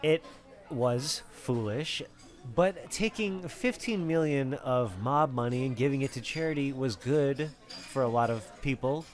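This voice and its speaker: A man's voice: medium pitch, lively intonation, measured pace, faint music behind, close to an everyday voice. A man playing a fussy, nervous accountant on the run, stammering and politely persistent in an acted film script read. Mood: sheepish, curious. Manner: hesitant, stammering, earnestly nagging.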